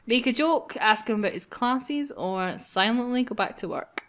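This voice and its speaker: A woman's voice: fairly high pitch, lively intonation, moderate pace, fairly bright tone. A playful, giggly woman hosting a gaming video, reading a visual novel aloud and bantering casually. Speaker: gaming video host, narrator.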